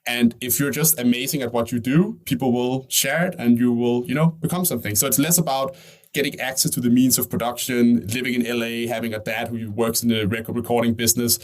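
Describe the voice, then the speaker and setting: A man's voice: mid-range voice, fairly even intonation. A man from Denmark, a company CEO, answering interview questions in a conversational way, thinking aloud and often tagging "right?" onto his points. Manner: fast, thinking aloud with frequent "right?" and restarts.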